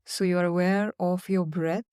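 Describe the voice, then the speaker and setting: A woman guiding a body-scan and breathing meditation in slow, calm, soothing instructions with long pauses. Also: on the low side, clean tone, dry recording, clean studio sound. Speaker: meditation guide. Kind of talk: guided meditation.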